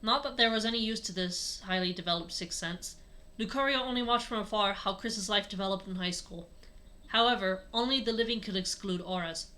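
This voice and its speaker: A woman's voice: mid-range voice, a little fast, sharp tone. A woman narrating a story aloud as a co-host, with casual asides. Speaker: co-host reading fan fiction aloud.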